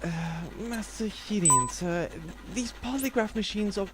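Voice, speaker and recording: An actor playing a timid, deferential henchman in a comedic sci-fi audio drama, speaking quietly, hesitantly and politely. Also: medium pitch, animated, measured pace, over music, lightly acted. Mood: meek.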